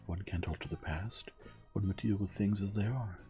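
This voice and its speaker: A man voicing a rich, proud young woman who answers defensively in a hushed, breathy way. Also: lowish voice, moderate pace, soft background music, voice pushed up, close to an everyday voice.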